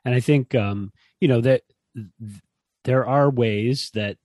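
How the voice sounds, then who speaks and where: A man's voice: medium pitch, unhurried, darkish tone, dry recording. A man co-hosting a casual conversation about teaching, thoughtful, often repeating words as he works out his point, with a dry sense of humor. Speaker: podcast co-host.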